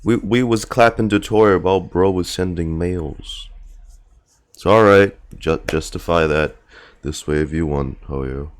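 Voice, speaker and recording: A man streaming casual reaction commentary on a game story, talking in a slangy, halting, repetitive way. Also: sits low.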